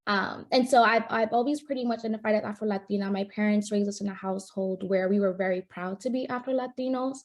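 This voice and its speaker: Young woman panel guest from New York, mid-pitched, casual and friendly. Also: steady tone, fast talker, few pauses. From New York, United States. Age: young adult. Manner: friendly, laughs often, many fillers.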